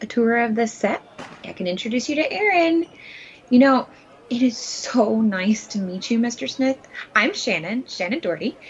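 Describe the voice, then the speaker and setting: A woman playing a fed-up young TV actress in an audio comedy, breathy and melodramatic, with gushing phrasing and slightly dull sound. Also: high-pitched, heavily acted. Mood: coy, eager. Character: fed-up, foul-mouthed young TV actress, dramatic and desperate. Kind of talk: comedic audio play acting.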